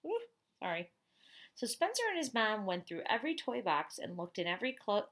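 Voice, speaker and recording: A woman reading a picture book aloud, expressive, with chatty asides to young listeners. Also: medium pitch.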